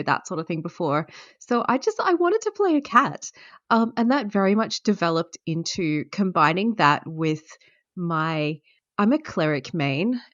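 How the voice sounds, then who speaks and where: A woman with a very low voice talks through her answers in relaxed conversation, pausing often. The sound is dry and a little dull. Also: no room sound. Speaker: guest player. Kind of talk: casual interview answers.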